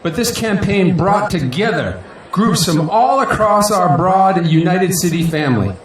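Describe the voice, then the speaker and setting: Man playing a high-pitched, echoing politician at a rally. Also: expressive, unhurried. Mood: humble, proud. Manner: grandiose, earnest, declamatory. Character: proud, idealistic male politician giving a victory speech. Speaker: newly elected city council president. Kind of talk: political rally oratory in an audio drama. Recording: echoey hall, distant mic, crowd noise. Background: crowd and faint noise.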